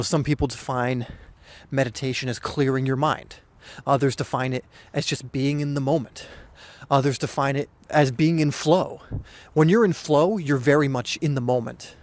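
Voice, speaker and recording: A young man hosting a soccer podcast, talking casually and earnestly with a hoarse, slightly weak voice and frequent restarts. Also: medium pitch. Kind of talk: casual solo podcast talk.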